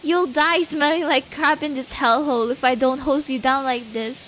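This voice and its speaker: A woman acting a sadistic young female captor in an ASMR audio roleplay, taunting and gloating with drawn-out, cruel menace. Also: high voice, fairly even intonation, fairly bright tone, slight background noise. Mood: gloating control.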